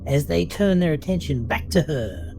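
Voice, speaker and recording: A man narrating a scripted horror story in a measured, dramatic storytelling manner. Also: on the high side, lively intonation, creaky. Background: music.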